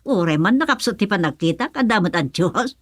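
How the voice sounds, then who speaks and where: A woman's voice: mid-range voice, lively intonation, normal speed, close to an everyday voice. A woman acting an eager village contestant in a radio comedy, with an Ilocano accent.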